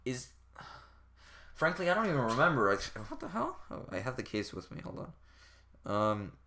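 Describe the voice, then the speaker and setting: A man's voice: mid-range voice, sing-song, moderate pace, sharp tone, a little hiss or hum. A man giving a casual comedic rant as a game reviewer, rambling and exasperated, with informal, profane speech.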